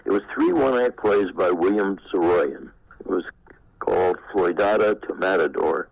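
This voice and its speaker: An older man from Texas being interviewed, reminiscing in a halting, anecdotal way. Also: sits low, vocal fry, dry recording, poor audio. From Texas, United States. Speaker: interviewee, former actor. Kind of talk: unscripted reminiscence.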